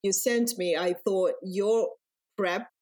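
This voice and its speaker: A woman from Uganda with a Ugandan accent, speaking as a podcast guest in a reflective, measured way. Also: mid-range voice, a little mellow. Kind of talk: podcast interview.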